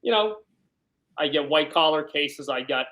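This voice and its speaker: A man from Brooklyn, talking at length as an interview guest and explaining things conversationally, often checking with a quick "right?". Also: fairly high pitch, lively intonation, bright, forceful tone. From born in Ukraine, grew up in South Brooklyn, New York. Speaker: criminal defense lawyer, interview guest.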